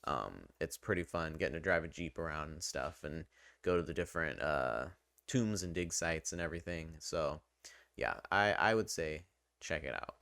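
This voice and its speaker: A man's voice: a bass voice, expressive, normal speed, gravelly, a bit bright, dry recording, clean studio sound. A man giving casual, unscripted video game commentary in an informal, hesitant, self-deprecating way. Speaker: video game reviewer and channel host.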